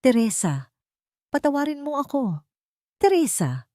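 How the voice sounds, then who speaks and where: A woman narrator plays a ruined, remorseful Filipino man in an audio drama story. He pleads pitifully and desperately, the voice pushed high above the narrator's own, recorded dry. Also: big pitch swings, unhurried, dark tone, no room sound. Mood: desperate pleading.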